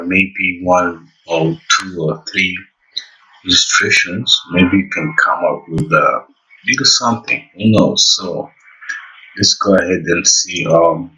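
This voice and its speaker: A man narrating a casual software tutorial in a halting, hesitant way, pausing mid-sentence. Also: deep voice. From Rwanda. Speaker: tutorial presenter. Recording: slightly distant microphone.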